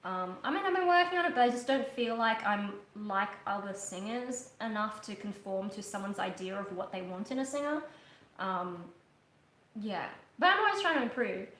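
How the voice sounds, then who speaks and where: A woman's voice: medium pitch, animated, moderate pace, a bit bright, a little hiss or hum. Chatty young woman in echoey room. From Australia. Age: young adult. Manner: rambling, chatty, many ums and restarts. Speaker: livestream host, metal singer. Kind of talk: casual live chat. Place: living room, on a couch, indoors. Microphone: webcam at a distance.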